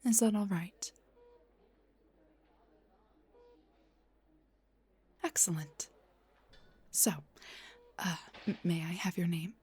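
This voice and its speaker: A woman playing a gentle, refined vampire doctor in a soft-spoken roleplay, breathy and whispery, with a polite, slightly hesitant manner. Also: medium pitch, a little hiss or hum, natural voice.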